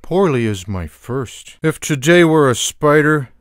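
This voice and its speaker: A man voicing a lazy, sarcastic, gluttonous cartoon cat with deadpan, wry delivery for a video game. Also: on the high side, measured pace, no echo, clean studio sound. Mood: deadpan, smug. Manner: deadpan, wry one-liners. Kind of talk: video game character voice clips.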